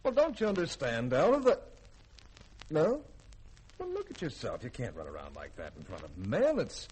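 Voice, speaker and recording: A man's voice: mid-range voice, huge pitch swings, moderate pace, darkish tone, slight background noise, low-quality recording. An actor playing a stern, easily exasperated male spaceship commander in a 1950s radio drama. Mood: flustered, exasperated. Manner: commanding, blustering.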